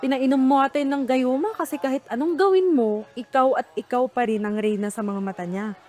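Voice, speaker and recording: A woman's voice: sits high, brisk, darkish tone, close to an everyday voice. A woman with a Filipino accent playing a gossipy, teasing middle-aged friend in a radio drama. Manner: chiding, teasing. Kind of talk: radio drama acting, casual chat.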